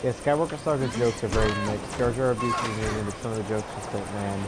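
A young man chatting casually as he streams a game, rambling and halting in an amused way. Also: lowish voice, fairly even intonation. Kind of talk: casual live gameplay chat. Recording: loud game music and sounds behind. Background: video game music and effects.

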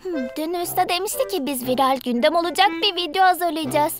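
Cartoon little sister: tiny high voice, eager, naive, expressive, music underneath. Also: female voice, fairly quick. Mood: curious, naive. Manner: childlike, earnest, expressive. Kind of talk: dubbed children's cartoon acting.

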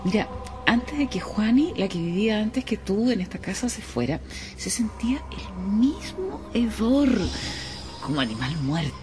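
A woman playing a grave, knowing neighbour in a radio drama, foreboding and hushed. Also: medium pitch, big pitch swings, a little slow. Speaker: actor. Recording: music behind the voice.

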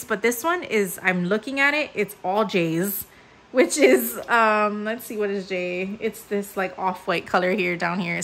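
A woman's voice: medium pitch, pushed and bright. A young adult woman casually talking through a craft kit unboxing at a table, chatty and upbeat with lots of fillers. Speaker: crafting channel host. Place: indoors at a table. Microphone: overhead camera mic, hands-only framing.

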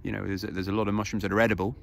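A man in his thirties talks easily to his phone camera while standing outdoors on a grassy hill. His voice sits low and has a gravelly rasp. He explains step by step in a relaxed way, with plenty of ums and uhs. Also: very fast, slight background noise. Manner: casual, explanatory, easygoing with fillers. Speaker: foraging channel host. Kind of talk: outdoor how-to vlog. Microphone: phone camera held close.

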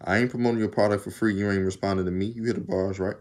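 Young Southern vlogger, low-pitched, talkative and laid-back, speaking into a phone at arm's length. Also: male voice, steady tone, very fast. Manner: rambling, talkative, laughs often. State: arms tired while undoing braids. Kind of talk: casual chat to camera. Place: small room indoors. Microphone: phone camera at arm's length.

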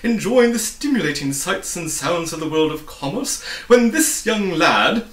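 A man voicing a flustered, pompous gentleman bug in a storybook, wordy and fussily formal. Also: sits high, very animated, unhurried, echoey room, far from the mic, voice pushed up, heavily acted. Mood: nervous, protesting.

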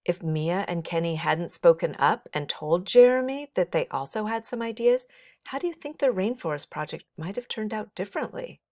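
A woman's voice: on the low side, lively intonation, moderate pace, darkish tone, no echo, studio quality. A middle-aged woman reading a children's story aloud expressively, as a teacher would for young listeners.

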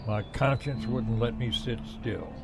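A man playing a cranky, cocky, reckless volunteer fighter in an audio drama, with gruff, folksy defiance. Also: mid-range voice, steady tone, a little slow, background noise. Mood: indignant.

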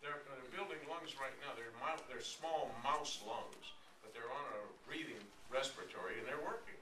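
A man's voice: medium pitch, moderate pace. Middle-aged male conference speaker, informal storytelling lecture, distant echoey recording with background noise. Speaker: conference speaker, author on stem cell therapy. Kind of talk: informal public lecture with anecdotes. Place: hotel conference room, at a podium. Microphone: audience camera from several meters away. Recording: echoey room, distant camera mic, noisy.

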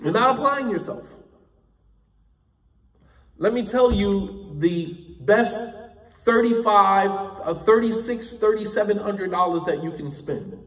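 Guy teaching a class, kinda high voice, really emphatic, sounds far from the mic. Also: animated, slow pace, a bit bright, echoey room, in a large hall. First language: English. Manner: emphatic, impassioned, repeats points for stress. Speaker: Islamic studies teacher. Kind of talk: classroom lecture.

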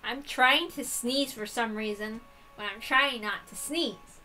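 A woman host reading a visual novel aloud, mixing dramatic narration with excitable, irreverent, sweary asides. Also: a little high, unhurried, faint music behind.

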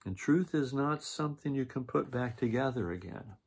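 A man reading the lines of a gentle, saddened mother bear in a children's storybook, in a soft, earnest way with a husky voice. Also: mid-range voice, expressive, normal speed, voice pushed up. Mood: sad, gentle.

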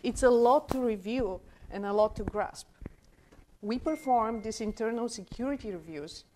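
A woman's voice: medium pitch, a little mellow. A woman in her forties from Bulgaria with a Bulgarian accent, earnestly giving a conference talk at a podium mic in a small room. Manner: earnest, gestures with both hands. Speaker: conference speaker. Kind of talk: conference presentation.

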